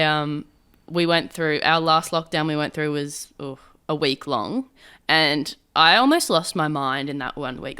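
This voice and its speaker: Low-voiced woman hosting a podcast. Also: pushed and bright, clear, resonant tone. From Queensland, Australia. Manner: chatty, rambling with restarts. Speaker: podcast host. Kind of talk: casual podcast interview. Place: small office studio.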